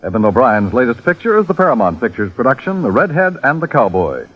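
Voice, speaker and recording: Husky male vintage radio announcer. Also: medium pitch, huge pitch swings, fast talker. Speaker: program announcer. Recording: muffled old radio recording.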